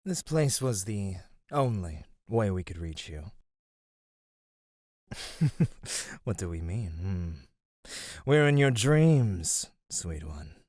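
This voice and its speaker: A man playing a gentle, flirty immortal god of radiance in an ASMR roleplay, speaking softly and formally. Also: low-pitched, sing-song, slow, long pauses, dry recording, clean studio sound, exaggerated voice. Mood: soothing, tender. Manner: soft, formal, tender speech.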